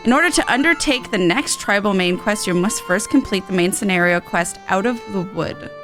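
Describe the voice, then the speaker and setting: A woman talks casually as she streams a video game. She comments on what is on screen, with soft game music playing behind her. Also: mid-range voice, normal speed.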